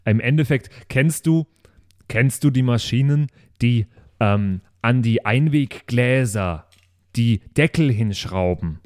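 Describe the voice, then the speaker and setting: A man's voice: medium pitch, animated, slow, a little mellow, dry recording, studio quality. A man playing a chatty, self-satisfied retired German grandfather, earnest and rambling, in an improvised role-play podcast. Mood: earnest pride.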